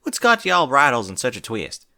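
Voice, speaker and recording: A man's voice: mid-range voice, huge pitch swings, normal speed, gravelly, pushed and bright, full character voice. Man voicing a drawling Southern farm mare. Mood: puzzled. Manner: drawling country talk, plain-spoken. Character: folksy, skeptical female farm pony.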